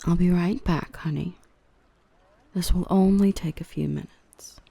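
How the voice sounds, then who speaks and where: A woman playing a dominant yet protective dark elf mistress in an ASMR roleplay, by turns cutting and tender. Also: on the low side, steady tone, unhurried, gravelly, slightly rough, no echo, full character voice. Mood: gentle, reassuring. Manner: stern and cutting to traders, tender and coaxing to the human. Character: dominant female dark elf, protective, gentle with her rescued human.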